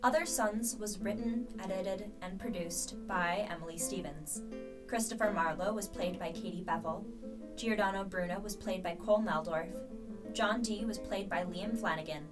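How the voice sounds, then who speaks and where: A woman's voice: medium pitch, normal speed. A woman reading scripted, factual narration as the narrator of an epilogue and credits. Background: plucked guitar music.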